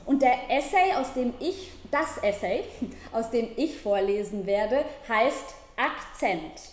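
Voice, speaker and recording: High-voiced woman reading in an echoey room. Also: expressive. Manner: measured, careful literary reading. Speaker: university language lecturer. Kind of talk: presenting and reading an essay aloud. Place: high-ceilinged ornate room, seated at a table.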